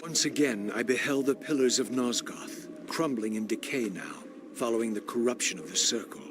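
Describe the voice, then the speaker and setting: An actor playing a brooding undead wraith in a video game, narrating with formal, eloquent, theatrical diction and a solemn manner. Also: male voice, medium pitch, moderate pace, heavy background noise, natural voice. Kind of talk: dramatic scripted game narration.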